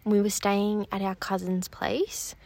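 A woman's voice: medium pitch, flat delivery, a little slow, a little mellow, no room sound. A young woman co-hosting a podcast, bubbly and giggly, talking straight to her listeners. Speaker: podcast co-host. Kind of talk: casual chat.

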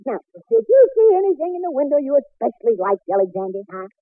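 A man's voice: very high voice, sing-song, quick pace, no room sound. A man with an American accent playing a bumbling, overconfident suburban husband and father in 1940s radio comedy, stammering and muttering. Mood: flustered probing. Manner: flustered, stammering, muttering asides. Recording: muffled, narrow-band old radio recording.

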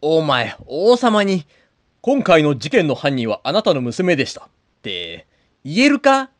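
A man playing a blunt, rough-spoken middle-aged adventurer in a comedic audio drama. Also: fairly high pitch, huge pitch swings, a little slow, no echo. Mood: resigned.

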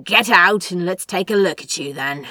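A woman's voice: fairly low pitch, normal speed, pushed and bright, no echo, studio quality. A woman voicing a tired, blunt young man who swears and speaks plainly. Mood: gruff.

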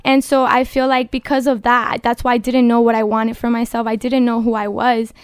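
A woman's voice: a little high, little pitch movement, a little fast, clear, resonant tone, no echo, studio quality. A woman in her twenties from the Dominican Republic, a podcast guest speaking earnestly and passionately about her faith. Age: 20s. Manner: earnest and passionate, exhorting listeners. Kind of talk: casual faith-based podcast talk. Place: studio.

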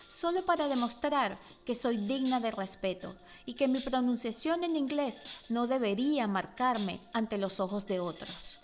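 A woman in her forties with a Venezuelan Spanish accent, reading her own personal essay in a reflective, measured way. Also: high voice. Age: 40s. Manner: reflective, measured, with soft laughs. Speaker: podcast host and writer.

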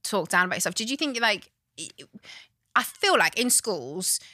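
A woman's voice: mid-range voice, big pitch swings, moderate pace, sharp tone, no echo, clean studio sound. A woman in her twenties from the UK, hosting a casual podcast chat and laughing often. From United Kingdom. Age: 20s. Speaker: podcast host.

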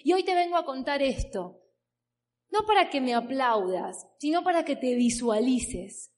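A woman's voice: high voice, expressive, measured pace, a little mellow. A woman in her thirties with an Argentine accent from the Buenos Aires area, telling her personal story as a motivational speaker at a business event, emphatic and stacking long repeating lists. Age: 30s. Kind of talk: personal story told from a stage. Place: stage before a live audience.

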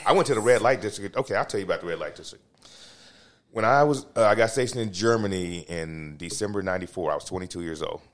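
A man's voice: low-pitched, fast talker. A man in his fifties telling crude stories on a casual podcast, rambling and restarting his phrases. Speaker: podcast co-host. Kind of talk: casual chat, crude storytelling.

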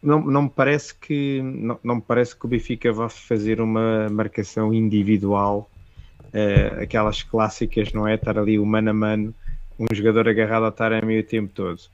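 A man with a European Portuguese accent giving a measured, hesitant analysis on a casual panel. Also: fairly low pitch, flat delivery, no room sound. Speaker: football pundit. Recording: dull lo-fi dry sound.

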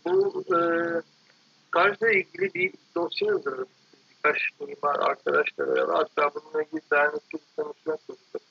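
A man talking casually and reflectively in an interview, halting and digressive, often correcting himself. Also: a little high, huge pitch swings, creaky, breathy edge, no echo, lo-fi sound. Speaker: architect guest being interviewed.

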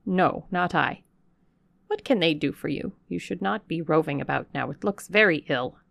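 A woman voicing a gruff old servant with a burr. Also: low voice, normal speed, fairly bright tone, no echo, exaggerated voice. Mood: suspicious, stern. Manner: gruff, distrustful, plain-spoken. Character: blunt suspicious old female servant.

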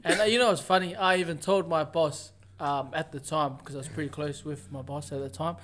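A young man chatting in a laid-back way, often pausing on "um". His voice sits a little high for a man. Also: fairly even intonation, a bit bright, slight background noise. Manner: laid-back, many fillers. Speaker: youth worker. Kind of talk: casual chat.

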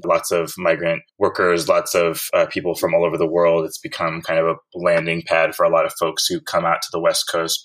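A man's voice: deep voice, fairly bright tone. A man in his thirties from Central California, a guest telling his story in a reflective, filler-laden conversational way. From Central California, USA. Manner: reflective, frequent "like" and "kind of" fillers. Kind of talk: casual conversational interview.

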